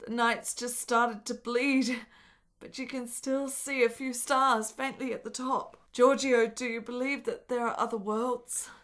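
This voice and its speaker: A woman's voice: fairly high pitch, flat delivery, unhurried, fairly bright tone. An actress playing a frail, lovesick, melancholy woman in a period radio drama, speaking in earnest, melodramatic, formal phrases. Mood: dreamy.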